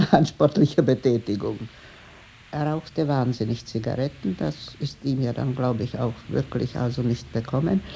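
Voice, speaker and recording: An older woman reminiscing casually as an interviewee, in a halting, emphatic, conversational way. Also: deep voice, warm tone, a little hiss or hum, poor audio. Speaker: eyewitness interviewee.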